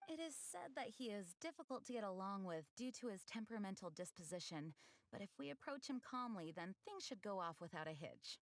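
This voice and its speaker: An actress playing a composed, dutiful knight leader in a video game, measured, formal and courteous. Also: female voice, medium pitch, expressive, dry recording, natural voice. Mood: calm, reassuring.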